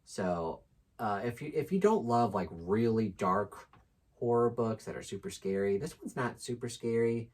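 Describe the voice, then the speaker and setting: A man's voice: mid-range voice, expressive, normal speed. A man co-hosting a book channel in casual, unscripted chat, easygoing and joking with frequent asides.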